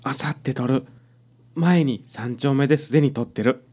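A woman's voice: a bass voice, moderate pace, warm tone, husky. A woman playing a casual, sly part-time cafe worker with a Kansai accent in a radio drama. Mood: breezy, sly.

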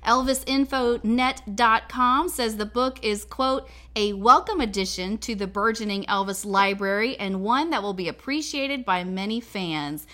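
A woman's voice: a little high, unhurried, few pauses, bright, forceful tone. A woman hosting a radio interview show, presenting introductions and putting questions to a guest. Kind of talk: scripted introduction and conversational interviewing.

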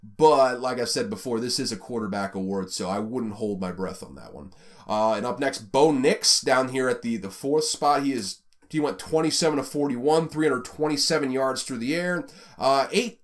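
A man's voice: mid-range voice, lively intonation, moderate pace. A man with a Southern American accent hosting a casual college football rundown, rambling and conversational with plenty of fillers. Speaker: college football podcast host. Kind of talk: casual sports commentary.

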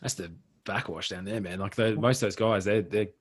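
A man's voice: lowish voice, steady tone, brisk, dry recording. An Australian man hosting a casual podcast, talking in a blunt, matey way with plenty of swearing. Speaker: podcast host, military veteran.

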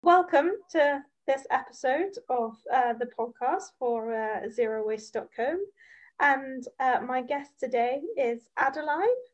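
A woman's voice: high-pitched, measured pace, dull audio, slight background noise. A woman hosting a podcast interview, talking in a friendly, conversational way. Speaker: podcast host.

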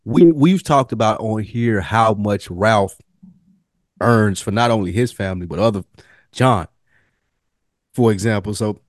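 A man with an American accent chatting casually as a podcast co-host, telling things in a slangy, profane, animated way. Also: lowish voice, no room sound, clean studio sound. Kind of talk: casual TV review podcast chat.

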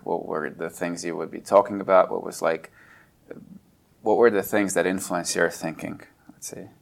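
A man hosts a podcast with a very low voice. His tone is gravelly and he talks in a relaxed way, often pausing on "uh". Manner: relaxed, with frequent "uh" fillers. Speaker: podcast host. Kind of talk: interview podcast. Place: museum in Tallinn.

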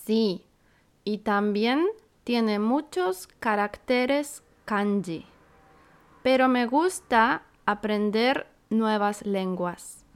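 Woman teacher; slow, carefully articulated lines, slightly high pitch. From Santiago, Chile. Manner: clear, deliberate, carefully spaced phrasing. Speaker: podcast co-host, Spanish teacher. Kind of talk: slow, scripted beginner language lesson dialogue.

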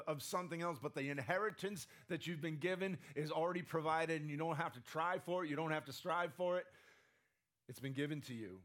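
A man's voice: a little high, fairly bright tone. Man preaching with a strained voice. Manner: conversational, repeats "right?" to the room. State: strained voice that cracks. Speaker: pastor. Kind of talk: sermon.

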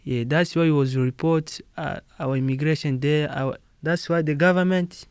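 A man with a Congolese accent answering interview questions in halting, non-native English, often repeating words and laughing. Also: on the high side, steady tone, clear, resonant tone, no echo. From Democratic Republic of Congo. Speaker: guest musician.

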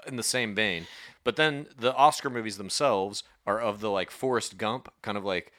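A man's voice: on the low side, moderate pace, a bit bright, no echo, studio quality. A young man co-hosting a podcast, talking movies in a blunt, opinionated way and swearing freely. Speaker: podcast co-host. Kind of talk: casual chat.

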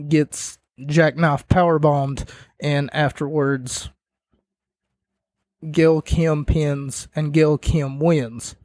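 A man with a fairly high voice talks slowly through a casual review, wandering into joking asides. His voice is starting to give out a little, and the sound is dry and close. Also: darkish tone, no echo. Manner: rambling, jokey asides. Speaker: wrestling show reviewer, host. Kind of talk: casual spoken review and recap.

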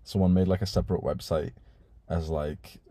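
A young man hosting a live stream in a casual, laid-back way, reading viewer messages and swearing now and then. His voice is very low and mellow with a husky edge. The sound is dry and close. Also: fairly even intonation, normal speed, no room sound. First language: Urdu.

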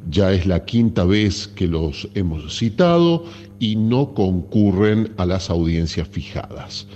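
Guy doing a stern argentine bureaucrat, dark dull sound, telling people off formally. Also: sits low, sing-song, slow, slight background noise, exaggerated voice. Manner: formal, admonishing. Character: stern, exasperated male government official issuing summons. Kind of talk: formal mock public announcement. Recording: muffled, long hall reverb, faint music.

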